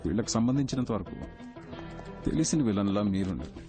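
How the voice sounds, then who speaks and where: An actor playing a shrewd, controlling middle-aged senior investigator, speaking with authority and mixing in English phrases. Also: male voice, lowish voice, animated, a little fast, music in the background, close to an everyday voice. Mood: calculating. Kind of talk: film drama dialogue.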